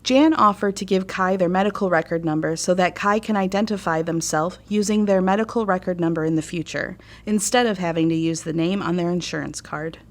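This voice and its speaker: An American woman narrates a staff training course. Her voice is a little low, and she reads in a measured, formal, instructive manner.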